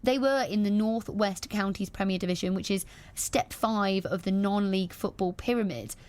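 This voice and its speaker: A woman's voice: mid-range voice, moderate pace, a little mellow. A woman presenting a local radio sports interview, encouraging and enthusiastic as she talks with her guests.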